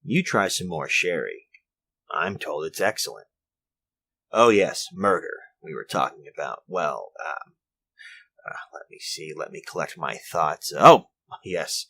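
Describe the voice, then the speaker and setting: A man's voice: a bass voice, expressive, unhurried, creaky, a bit bright, husky, heavily acted. An actor playing a fussy, orderly, mild-mannered man with a prim, hesitant, polite manner in a retro radio drama. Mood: quiet, deliberate narration. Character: fussy, orderly, mild-mannered male workshop owner recounting a murder.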